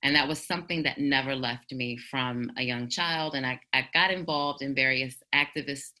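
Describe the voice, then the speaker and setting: A woman's voice: rumbling low, normal speed, sharp tone. A woman from the United States giving reflective interview answers as a guest over an internet call. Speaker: guest, activist blogger.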